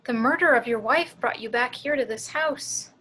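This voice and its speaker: A woman voicing a possessed, motherly woman with slow, deliberate, soothing menace in an amateur table read. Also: on the high side, a bit bright, husky, poor audio. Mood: ominous, soothing. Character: sinister motherly woman possessed by a vengeful ghost.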